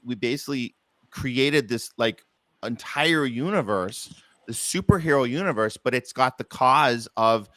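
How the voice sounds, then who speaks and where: A man's voice: medium pitch, a bit bright, no echo. Man, podcast host, dry close sound, casual, appreciative, rambling delivery. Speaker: podcast host, theater producer. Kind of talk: casual interview chat.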